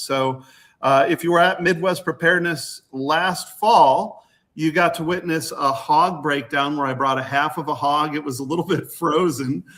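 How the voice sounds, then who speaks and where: Bright-voiced man explaining casually. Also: a little high. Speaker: guest, butchery workshop teacher.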